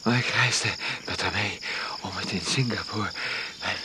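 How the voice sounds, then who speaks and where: An actor playing a wounded, despairing man in a radio drama, speaking weakly in halting, broken phrases. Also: fairly low pitch, lightly acted. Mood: dying confession. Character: wounded, despairing man confessing, weak and faltering. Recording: noisy radio play recording. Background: crickets and insects.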